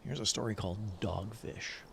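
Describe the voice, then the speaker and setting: Low, creaky-voiced man streaming casually. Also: normal speed. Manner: rambling, self-narrating, repeats himself. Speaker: livestream host. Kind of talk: casual gaming livestream chat and story readalong. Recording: clear background noise.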